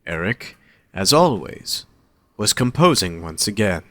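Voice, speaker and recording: A man's voice: on the low side, sing-song, unhurried, a bit bright, no room sound. A man reading scripted story narration as the narrator of an audio drama.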